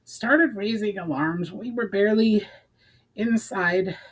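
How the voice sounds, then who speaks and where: A man's voice: high voice, a little slow, pitched above the performer's own voice. A man voicing a short, irritable male adventurer from a fantasy story, snarling accusations. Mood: angry, breathless.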